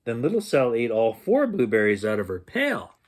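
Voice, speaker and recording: Husky, animated man reading a bedtime story. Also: mid-range voice, moderate pace. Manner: expressive, acts out sounds and dialogue. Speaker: storyteller reading a children's picture book.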